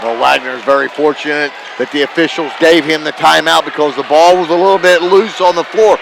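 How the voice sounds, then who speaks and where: A man provides color commentary for a live radio basketball broadcast. His voice is slightly high with a strong creaky, gravelly edge. Plenty of gym noise sits behind him. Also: fairly even intonation, brisk, a crowd in the background. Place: packed high school gymnasium. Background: gym noise, bouncing basketballs.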